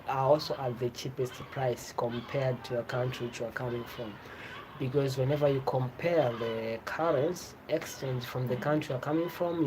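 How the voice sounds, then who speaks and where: Tanzanian vlogger, swahili first language, chatty explaining w/ lots of rephrasing, medium pitch, noisy background. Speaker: travel vlogger. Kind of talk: informal explanatory vlog talk. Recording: clear background ambient noise.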